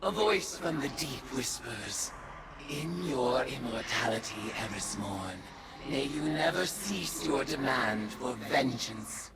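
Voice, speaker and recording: A woman playing a brooding, vengeful witch turned dark goddess in a video game, speaking solemnly and theatrically, sometimes near a whisper. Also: a bass voice, animated, full character voice. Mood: ominous, solemn. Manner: solemn, deliberate, theatrical delivery. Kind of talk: dramatic video game acting. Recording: loud music underneath. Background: loud game music.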